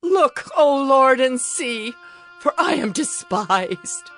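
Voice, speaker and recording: An actress plays a ravaged, humbled woman in mourning for a dramatized scripture recording. Her voice sits fairly high and pleads in anguished lament. Soft music runs behind her. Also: expressive, takes it slowly, exaggerated voice. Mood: anguished pleading. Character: Jerusalem personified, a grieving, humbled, ravaged woman.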